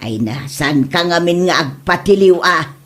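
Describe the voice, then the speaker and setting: A woman's voice: lowish voice, animated, measured pace. A woman with an Ilocano accent playing a gossipy, grumbling neighbour wife in an amateur comedy drama.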